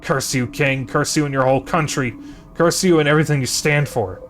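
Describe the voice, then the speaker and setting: A man voicing a desperate young male hero who shouts and pleads in panic. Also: fairly low pitch, lively intonation, fairly quick, natural voice. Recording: music behind voice.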